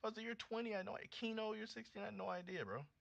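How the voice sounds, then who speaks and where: An American man in his late twenties hosting a casual livestream, chatting with viewers in a slangy, animated, conversational way. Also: high voice, very fast, vocal fry, no room sound. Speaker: livestream host. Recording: dull lo-fi streaming audio.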